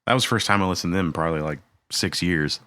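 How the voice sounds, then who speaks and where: A man's voice: low-pitched, very fast, sharp tone, dry recording. A man co-hosting a podcast, chatting casually and jokingly, with rambling stories. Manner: laid-back, rambling, joking. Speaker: podcast co-host. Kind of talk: casual chat.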